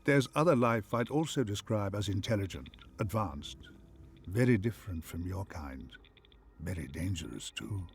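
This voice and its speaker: A man playing a calm, wise, once-human artificial intelligence for a game, speaking in measured, formal phrases. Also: lowish voice, husky, exaggerated voice. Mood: solemn, reflective. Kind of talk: scripted game companion voice acting.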